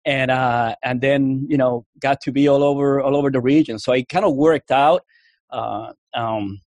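A man's voice: mid-range voice, fairly even intonation, normal speed, a little mellow, no echo. A man from Puerto Rico with a Cuban accent, an Army veteran telling his story in a casual interview, rambling with many restarts and fillers.

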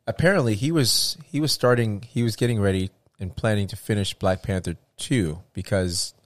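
Low-voiced man in rambling casual chat. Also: moderate pace, dry recording. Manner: rambling, animated, many restarts and fillers.

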